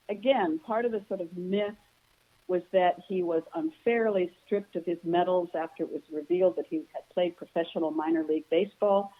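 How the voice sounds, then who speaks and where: A woman biographer answering interview questions at length, reflective and measured, pausing with frequent ums as she thinks. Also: fairly low pitch. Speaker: biographer and author guest. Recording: phone-like narrow sound.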